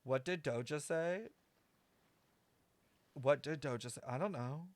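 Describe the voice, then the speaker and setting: High-pitched young male streamer, slangy and joking. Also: a little slow, dry recording. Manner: joking, sarcastic, slangy, profane. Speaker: game livestreamer. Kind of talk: casual livestream commentary.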